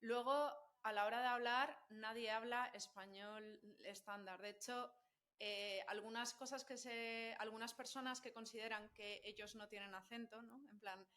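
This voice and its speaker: A woman's voice: fairly high pitch, steady tone, normal speed, sharp tone. A woman with a Madrid Spanish accent, a linguist guest explaining patiently in a casual podcast chat, with frequent fillers and laughter. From central Spain.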